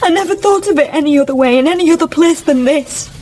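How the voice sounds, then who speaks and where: An actress playing a humble, devoted young working-class daughter in a radio drama, heartfelt and respectful. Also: female voice, high voice, fast talker, a little mellow, slight background noise, close to an everyday voice. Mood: firm, loving. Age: young adult. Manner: heartfelt, plain-spoken, respectful.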